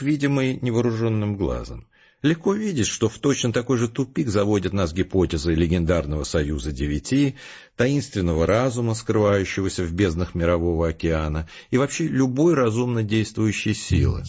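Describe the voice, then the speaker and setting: A man's voice: lowish voice, sing-song, normal speed, a little mellow, slightly rough, lo-fi sound, exaggerated voice. A man playing a self-assured, coolly logical intellectual in an audio drama, lecturing formally and emphatically. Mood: confident, didactic. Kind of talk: scripted audio drama dialogue.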